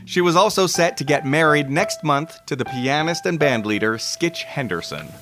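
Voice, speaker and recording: A man's voice: fairly high pitch, expressive, moderate pace, over music. A man hosting a podcast with scripted, explanatory narration. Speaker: podcast host.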